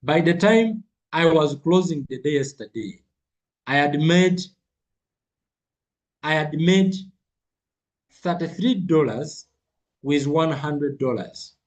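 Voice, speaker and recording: A man's voice: fairly high pitch, expressive, slow, long pauses. A man with a cold teaching a live online trading lesson, repeating figures and phrases for emphasis. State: has a cold. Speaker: trading coach.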